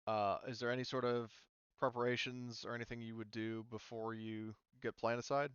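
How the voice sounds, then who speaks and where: A man with a smooth, clear voice acts as game master for a tabletop game. He talks informally and casually, and the recording is very dry and a little dull. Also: mid-range voice, flat delivery, normal speed, a bit bright, dry recording. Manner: informal, sweary. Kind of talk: running a tabletop role-playing game, casual explanation.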